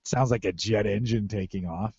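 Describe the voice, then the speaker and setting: A man's voice: fairly low pitch, moderate pace, vocal fry, darkish tone, husky, no room sound, dull audio. A middle-aged American man hosting a casual tech podcast, repeating phrases for emphasis. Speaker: podcast host. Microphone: close podcast microphone through mixer.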